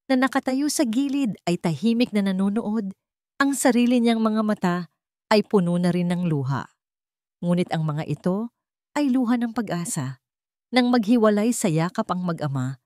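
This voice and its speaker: A woman with a Filipino accent narrating an emotional family story in a soft, heartfelt storytelling manner. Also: mid-range voice, no echo, clean studio sound.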